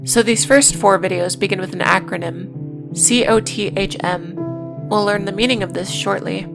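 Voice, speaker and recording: Female video essayist, mid-pitched, scripted but casual, dry sardonic asides, music behind the voice. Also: fairly even intonation, moderate pace, creaky.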